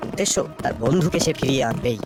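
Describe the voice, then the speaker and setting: A man's voice: medium pitch, animated, rapid-fire, gravelly. A man telling a scripted fairy tale as the narrator of a cartoon. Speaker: storyteller. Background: music.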